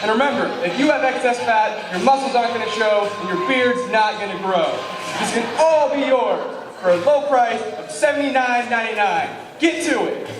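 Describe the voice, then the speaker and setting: A man playing an exuberant fitness infomercial pitchman, selling emphatically in mock earnest on a live stage. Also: animated, normal speed. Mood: pushy, upbeat. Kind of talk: parody infomercial sales pitch. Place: large hall, live amateur stage show. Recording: echoey hall, distant mic, crowd noise.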